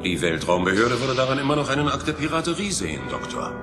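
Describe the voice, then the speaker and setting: A man playing a brisk, accusing spaceship crewman. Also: medium pitch, sing-song, close to an everyday voice. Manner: pointed, confrontational. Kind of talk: dramatic audio play acting. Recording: music behind the voice.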